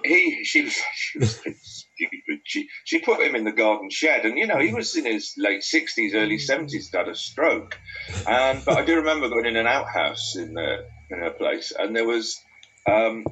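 A man's voice: fairly low pitch, animated, normal speed, bright, forceful tone, a little hiss or hum, rough recording. A man with a North Welsh accent, a beer writer chatting casually and rambling through anecdotes with many restarts. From Wales. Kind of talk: casual chat.

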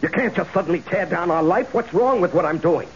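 High, insistent agitated husband. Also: male voice, expressive, fairly quick. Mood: pleading. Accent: American. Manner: insistent, exasperated. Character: agitated, practical husband losing control. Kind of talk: 1970s radio drama acting. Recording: dull, hissy old radio recording.

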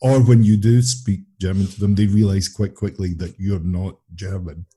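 A man in his forties with a very dark, warm tone and a husky texture. He speaks in a laid-back, reflective way and keeps his voice soft. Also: deep voice, steady tone, normal speed. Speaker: interview guest. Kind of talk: casual interview chat.

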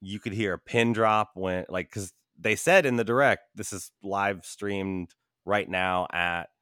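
A man's voice: on the low side, steady tone, a little slow, a bit bright, clear, resonant tone, no echo, clean studio sound. A man chatting casually as a podcast host in informal, slangy language. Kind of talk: casual chat.

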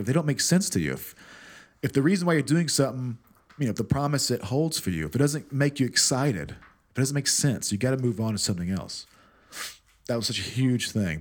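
A man telling a fitness story in a casual monologue, profane, animated and self-mocking, often repeating words as he goes. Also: fairly low pitch.

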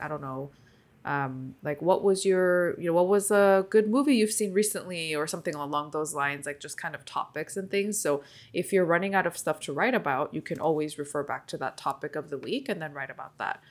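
Young woman co-host, Korean native speaker, lowish voice, detailed and chatty, lots of fillers. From South Korea. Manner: explains in detail with many fillers. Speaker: podcast co-host. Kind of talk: casual chat.

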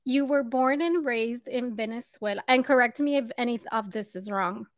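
A woman with a fairly high voice hosts an interview, reading a polished intro before moving into friendly chat. She greets her guest with an upbeat "love". The sound is dry and close to the mic. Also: moderate pace, no room sound. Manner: warm, upbeat greeting of "loves". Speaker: podcast host. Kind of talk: interview host, scripted intro then chat.

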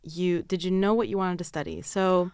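A woman hosting a podcast, introducing the episode and interviewing her guest in a friendly, relaxed way. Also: lowish voice, moderate pace, clean tone. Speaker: podcast host.

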